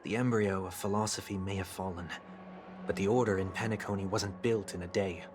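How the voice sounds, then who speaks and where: A man's voice: low-pitched, steady tone, fairly quick, background noise, natural voice. An actor playing a courteous, solemn and principled young man, speaking formally and eloquently in a video game. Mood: earnest. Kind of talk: scripted video game voice acting. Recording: loud music behind the voice.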